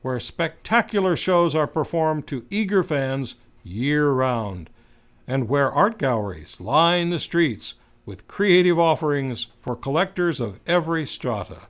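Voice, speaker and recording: Higher-pitched man reading promotional copy. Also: expressive, unhurried. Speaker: announcer for a theatre company. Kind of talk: scripted promotional narration.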